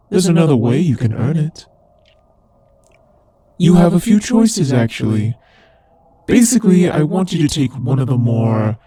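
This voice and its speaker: A man voicing a haughty, teasing demon lord in an ASMR roleplay, imperious and condescending, with a husky voice. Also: mid-range voice, mellow, no room sound. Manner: imperious, condescending, playfully taunting. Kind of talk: ASMR roleplay audio drama addressed to the listener.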